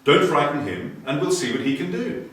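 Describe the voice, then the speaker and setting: A man's voice: a little high, very animated, moderate pace, a bit bright, distant mic, pitched above the performer's own voice. Man playing an assured, reassuring father, echoey. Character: big man, calm reassuring English father.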